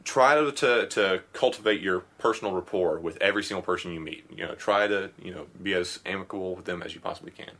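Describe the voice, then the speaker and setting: Podcast guy, low-ish voice, chatty advice, lots of "you know". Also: fast, few pauses, a bit bright. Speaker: podcast co-host. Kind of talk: casual podcast chat.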